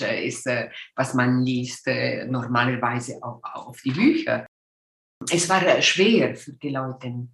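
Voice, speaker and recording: Woman in her sixties, Italian-Swiss accent, low voice, slow halting speech, echoey room. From Ticino, Switzerland. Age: 60s. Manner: hesitant, searching for words, frequent ums. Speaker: guest. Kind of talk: casual interview chat.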